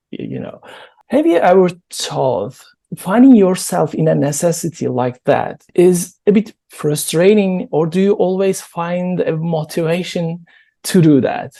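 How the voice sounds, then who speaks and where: A male host asking interview questions, choosing his words deliberately, with hesitant starts and small slips. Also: fairly high pitch, warm tone. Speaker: podcast host.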